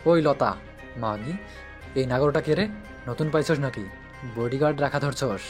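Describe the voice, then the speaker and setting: A man's voice: mid-range voice, unhurried, soft background music, lightly acted. A man playing a crude drunken thug in an audio story, coarse and sneering. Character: crude drunken male thug, leering and menacing.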